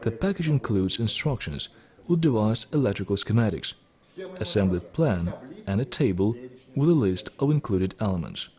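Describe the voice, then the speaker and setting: Middle aged guy reading a how-to script, lowish voice, formal but pitch moves around a lot. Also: animated, normal speed, darkish tone. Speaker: presenter of electronics kit videos. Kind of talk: scripted instructional narration.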